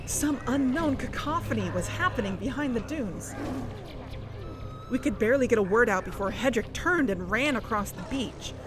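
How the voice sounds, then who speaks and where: A woman playing a shaken young narrator, telling a tense first-person story in a measured, confiding way in an audio drama. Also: medium pitch, background noise, lightly acted. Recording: music bed under the voice.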